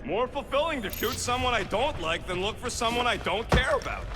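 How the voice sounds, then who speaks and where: A small, sarcastic raccoon mercenary grumbles through a video game scene. The voice is high for a male and very lively, full of snide slang. It rings with long reverb. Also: brisk, noisy background. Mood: sarcastic, grumpy. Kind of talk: video game cutscene voice acting.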